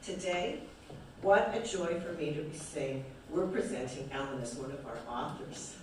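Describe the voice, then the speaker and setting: A man with an American Midwest accent reads a formal introduction of a guest speaker with enthusiasm. His voice sits fairly high for a man. The recording is distant and very echoey, from a large hall, with faint noise. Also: moderate pace, darkish tone. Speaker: event host introducing the author. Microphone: distant room mic.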